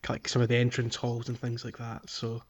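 A man's voice: mid-range voice, completely flat delivery, brisk. Guy on a gaming podcast, bit muffled, mid voice, kinda rambly and thoughtful, casual chat. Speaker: podcast co-host.

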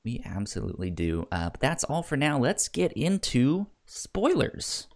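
A man's voice: mid-range voice, sing-song, unhurried, gravelly. A man co-hosting a podcast in casual review chat, often stammering and repeating words and syllables. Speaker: podcast co-host.

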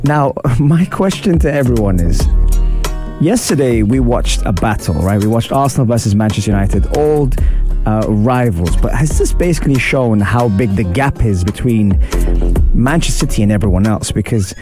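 A man with a husky voice hosting a radio show, chatting casually through a football match review and greeting listeners. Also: mid-range voice, expressive, moderate pace, music in the background. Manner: chatty, shout-outs to listeners. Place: radio studio.

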